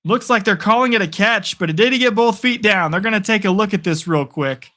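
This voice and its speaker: A young man calling play-by-play for a live stream, excitable and chatty, answering chat, his voice getting worn. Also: high voice, a little fast. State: losing his voice. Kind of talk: casual live play-by-play commentary.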